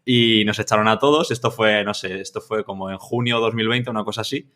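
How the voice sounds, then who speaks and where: A man's voice: lowish voice, fairly even intonation, fairly quick, a bit bright. A man from Mallorca with a Spanish accent, answering casually as a podcast guest, rambling with repeated words and tag questions. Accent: Spain. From Mallorca, Spain. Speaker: podcast guest, freelance content creator. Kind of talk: casual conversational interview answers.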